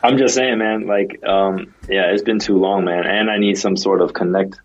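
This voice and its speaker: Relaxed remote guest, low husky man, dull lo-fi call audio. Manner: relaxed, friendly, halting restarts. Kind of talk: casual chat.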